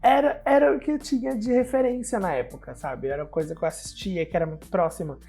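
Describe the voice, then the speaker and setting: A man in his twenties, a student and drag performer, giving a personal testimony to camera in a lively, expressive way. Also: high-pitched, fast talker, breathy edge, soft background music. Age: 20s.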